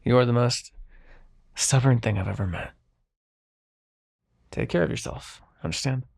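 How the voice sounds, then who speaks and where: A man playing a grumpy but reluctantly kind immortal dream-bringer in an ASMR roleplay, brusque and halting. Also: mid-range voice, gravelly, slightly rough, full character voice. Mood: brusque, caring. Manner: brusque, exasperated, halting, softening into concern.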